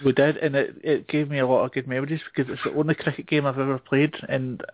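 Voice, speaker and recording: A man's voice: medium pitch, flat delivery, fast talker, no echo. A man chatting casually on a gaming podcast in a hesitant, rambling way, over a thin phone-like connection. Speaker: show host taking a turn as guest. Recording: thin phone-like internet radio sound.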